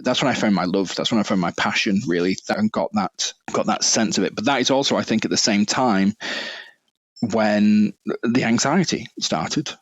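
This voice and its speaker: A man's voice: lowish voice, moderate pace, no room sound, muffled recording. A man from Greater Manchester with a northern English accent, reflecting earnestly as a podcast guest with many fillers and restarts. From Greater Manchester, England. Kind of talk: casual conversational interview.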